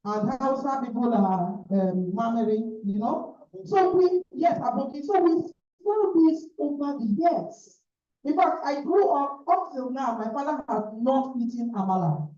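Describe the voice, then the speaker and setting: A woman's voice: a little high, warm tone. A woman from Nigeria speaking earnestly and emphatically at a club meeting, recorded from across the room. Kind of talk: impassioned remarks at a meeting. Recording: echoey room, distant dull sound.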